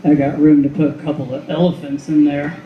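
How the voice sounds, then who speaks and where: A man's voice: on the high side, little pitch movement, a little fast, close to an everyday voice. A man playing an obliging, plain-spoken bartender in a live noir radio play. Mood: easygoing. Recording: muffled, echoey room with faint noise.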